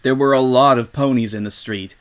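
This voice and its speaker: Male storyteller, medium pitch, scripted dramatic narration of a comic tale. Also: a little fast, a bit bright.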